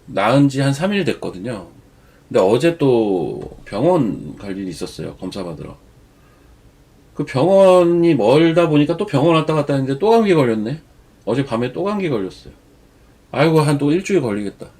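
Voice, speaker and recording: A man's voice: mid-range voice, sing-song, moderate pace, darkish tone, husky. A man with a cold giving chatty, rambling stock market commentary as the show's regular commentator. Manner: chatty, digresses into personal stories. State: has a cold. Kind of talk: casual market commentary on a live stream.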